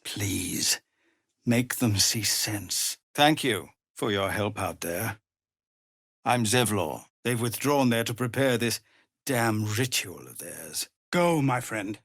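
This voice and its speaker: An actor playing a weary, dutiful tiefling ex-soldier who leads refugees in a video game, speaking in a grave, measured, earnest way. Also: male voice, fairly low pitch, expressive, fairly bright tone, no room sound, clean studio sound, full character voice. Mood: earnest, pleading. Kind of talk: dramatic video game character acting.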